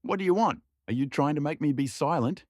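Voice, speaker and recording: Tipsy Cossack villager for a storybook, stubborn and emphatic, raised pitch, close dry sound male narrator acting. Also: expressive, fairly quick, no echo. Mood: indignant, excited. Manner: insistent, emphatic swearing. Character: tipsy, cheerful, stubborn Cossack insisting on witchcraft.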